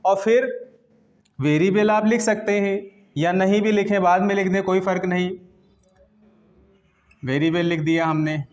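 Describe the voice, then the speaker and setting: A man's voice: sits high, fairly even intonation, measured pace, long pauses. A man with an Indian accent teaching grammar step by step, repeating phrases and asking questions that he then answers himself. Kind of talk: classroom lesson, explaining step by step in Hindi mixed with English terms.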